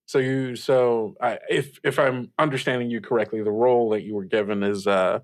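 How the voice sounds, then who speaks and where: A man's voice: mid-range voice, steady tone, normal speed, lightly acted. A man playing a young, kindly late-night radio host who talks in a hesitant, stammering, self-effacing way with nervous giggles. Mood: gentle, unsure. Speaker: radio call-in show host. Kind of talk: improvised call-in radio acting, casual.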